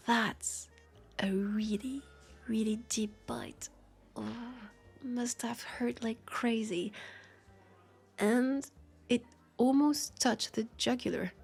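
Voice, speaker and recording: A woman with a French accent voicing a wry, centuries-old vampire detective in an intimate audio roleplay, husky and creaky, talking in an unhurried, conversational way. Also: fairly high pitch, darkish tone, exaggerated voice. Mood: awed, impressed. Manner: unhurried, conversational, with pauses and sighs.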